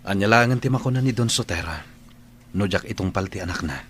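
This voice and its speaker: Roman, deep-voiced, resigned lovelorn man. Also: lively intonation, quick pace, a bit bright, slight background noise. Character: Roman, resigned lovelorn man caught between two women. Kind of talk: Ilocano radio drama acting.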